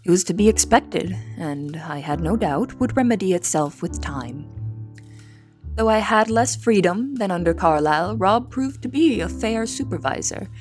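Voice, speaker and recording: A young woman playing a reserved, proud cook of nineteen, speaking in a measured, formal, literary manner in an audio drama. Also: mid-range voice, expressive, a little mellow, soft background music, lightly acted. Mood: measured, reflective. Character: reserved, proud, observant 19-year-old female cook. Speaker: voice actor and narrator. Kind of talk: dramatic first-person scripted narration.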